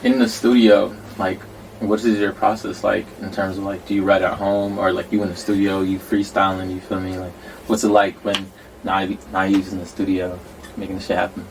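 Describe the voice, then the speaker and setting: A man's voice: deep voice, flat delivery, normal speed, vocal fry. A man interviewing a musician, asking laid-back, slangy, rambling questions. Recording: distant mic with faint background noise.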